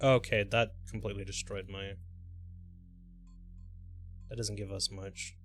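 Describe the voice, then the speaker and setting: A man live-streaming a game with casual, exclamatory commentary, reacting out loud and swearing freely. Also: lowish voice, little pitch movement, measured pace, soft background music.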